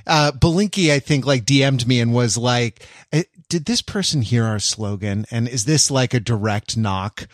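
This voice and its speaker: A man co-hosting a podcast in casual chat, talking in a halting, self-interrupting, jokey way. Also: mid-range voice, no echo, studio quality. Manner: jokey, teasing. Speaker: podcast co-host.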